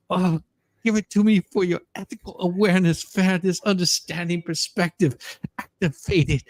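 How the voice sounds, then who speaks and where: A theatrical, pleading woman prisoner played by a man in a comedic letter reading. The voice sits fairly high with a gravelly creak. Delivery is drawn-out and full of innuendo, recorded very dry. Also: darkish tone, no echo. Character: breathy, pleading, suggestively moaning female prisoner writing to judge.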